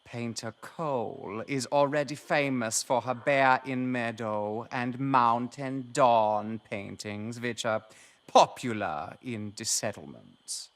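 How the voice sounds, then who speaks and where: An actor playing an affable, envious landscape painter in a video game, musing theatrically. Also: male voice, mid-range voice, takes it slowly, a bit bright, a little hiss or hum. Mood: musing, admiring. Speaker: quest-giving game character. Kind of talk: video game character dialogue.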